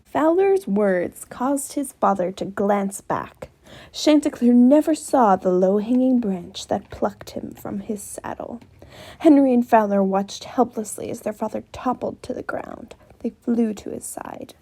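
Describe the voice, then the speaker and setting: A woman's voice: fairly high pitch, animated, measured pace, mellow. A woman reading scripted story narration as a student volunteer in readers theater.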